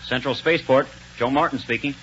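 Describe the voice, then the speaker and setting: A man's voice: mid-range voice, fairly quick, a crowd in the background, close to an everyday voice. An actor playing a deferential, obliging spaceport foreman in a 1930s radio serial. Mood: helpful. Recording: very noisy, dull 1930s radio recording.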